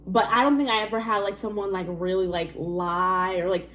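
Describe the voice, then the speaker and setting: A woman's voice: medium pitch, steady tone, fairly bright tone, slight background noise. A woman in a casual chat with her friend, agreeing and chiming in often. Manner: supportive, quick agreeing interjections. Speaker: guest friend.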